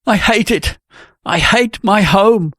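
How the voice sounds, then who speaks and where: Wounded, easily offended young man, voiced by the book's narrator. Lively, dry delivery. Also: medium pitch, a little slow, creaky, no room sound, pitched above the performer's own voice, heavily acted. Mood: anguished. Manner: hurt, heated, pleading. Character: touchy, sensitive young man, quick to hurt.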